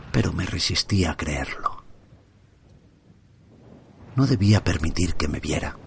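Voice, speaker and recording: Casting: middle-aged man, low voice, hushed storyteller, faint street hum behind. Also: vocal fry. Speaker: first-person narrator. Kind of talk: scripted horror narration. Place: at home by an open window.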